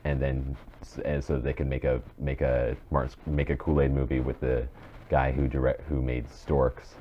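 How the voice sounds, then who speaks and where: A man's voice: a bass voice, little pitch movement, normal speed, dark tone. A man hosting a podcast in casual chat about film and TV, rambling and often restarting his phrases. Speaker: podcast host. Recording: dull muffled mic with faint noise.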